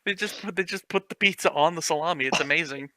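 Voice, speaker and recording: A man's voice: a little high, fast, pushed and bright, dry recording. A man reading a clumsy fan story aloud, mocking it with sarcastic, swearing commentary. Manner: sarcastic, swears, laughs at the text.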